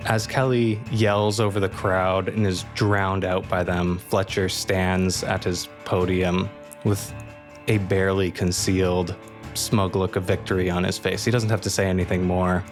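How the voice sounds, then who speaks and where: A man's voice: low-pitched, little pitch movement, measured pace, soft background music. A man improvising narration for a roleplaying game, setting out scenes as the narrator.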